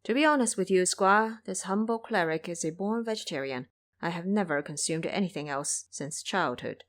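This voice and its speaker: A woman's voice: fairly low pitch, lively intonation, close to an everyday voice. Woman as a composed, pious monk master. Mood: polite refusal. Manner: formal, measured, courteous. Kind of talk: audio drama character voice.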